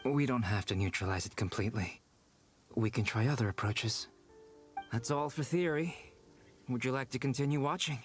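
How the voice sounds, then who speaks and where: An actor playing a calm, analytical young male scholar in a video game, precise and composed as if lecturing. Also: medium pitch, normal speed, lightly acted. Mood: composed, instructive. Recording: game music behind.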